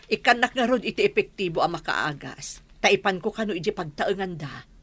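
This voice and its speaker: A woman's voice: medium pitch, expressive, a little fast, fairly bright tone, slightly rough. A woman playing an obliging, respectful village mother in an Ilocano radio drama. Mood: explaining.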